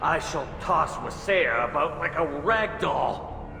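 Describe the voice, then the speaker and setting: A drugged alien merchant in a sci-fi game, full of godlike delusions. He proclaims slowly and theatrically in a big reverberant space with music behind him. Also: on the high side, animated, creaky, full character voice. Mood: grandiose. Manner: grandiose, theatrical proclaiming, slightly woozy. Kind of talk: video game voice acting.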